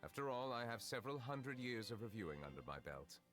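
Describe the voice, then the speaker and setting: An actor playing a calm, formal, centuries-old judge in a video game, speaking in measured, precise sentences. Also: male voice, medium pitch. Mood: composed. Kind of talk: scripted video game dialogue. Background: game music.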